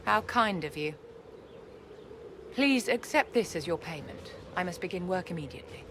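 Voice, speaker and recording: An actress playing a poised, secretive court enchantress in a video game, formal and measured, with condescending courtesy. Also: female voice, lowish voice, expressive, a bit bright, slight background noise. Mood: gracious, composed. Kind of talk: video game cutscene acting.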